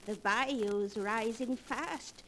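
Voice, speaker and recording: A cunning, embittered old aunt in a 1940s radio thriller. Her voice sits fairly high and she takes her time, taunting with knowing menace. The old broadcast recording carries light noise. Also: very slow, a bit bright.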